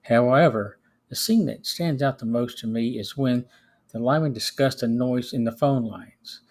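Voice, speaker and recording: A man's voice: mid-range voice, normal speed, a little mellow. A middle-aged or older man hosting a podcast, reading a scripted film review with the occasional stumble. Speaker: podcast host.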